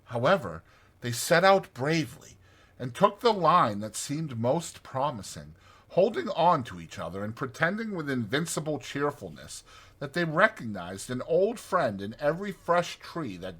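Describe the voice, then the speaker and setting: A man narrating a storybook as a dramatized audiobook, with expressive, deliberate phrasing. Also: medium pitch, breathy edge.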